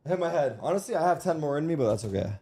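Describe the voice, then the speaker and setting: A man's voice: fairly high pitch, animated, rapid-fire, mellow, a little hiss or hum. A young man streaming, chatting casually with friends while setting up a game, swearing often. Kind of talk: casual chat while gaming.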